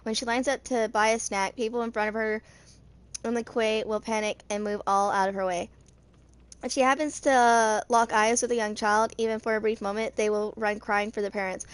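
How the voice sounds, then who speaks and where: A woman's voice: a little high, steady tone, moderate pace. A woman in her twenties hosting a gaming channel, reading character stories aloud with casual asides, tripping over words and rereading them. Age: 20s.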